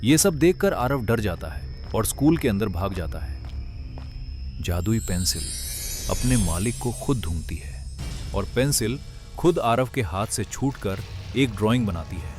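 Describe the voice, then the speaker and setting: Low-voiced male cartoon story narrator. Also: animated, unhurried, darkish tone, faint music behind.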